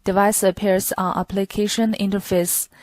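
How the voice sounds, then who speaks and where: A woman's voice: medium pitch, little pitch movement, normal speed, darkish tone, no echo. A woman reading a scripted product tutorial in a stilted, step-by-step way. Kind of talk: scripted instructional narration. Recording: clearly noisy recording with clicks.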